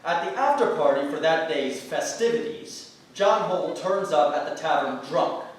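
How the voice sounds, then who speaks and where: Animated man in a noisy, echoing hall. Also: fairly high pitch, moderate pace. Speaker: actor reading a play synopsis aloud. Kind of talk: theatrical scripted narration. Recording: noisy, very echoey hall from far off.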